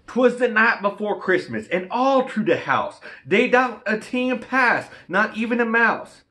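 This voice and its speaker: A man voicing an excitable, folksy Cajun storyteller, reciting a rhymed Christmas storybook in an exuberant singsong dialect. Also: high-pitched, measured pace, voice pushed up, full character voice. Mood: folksy, lively. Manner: exuberant, declamatory, singsong rhyming delivery.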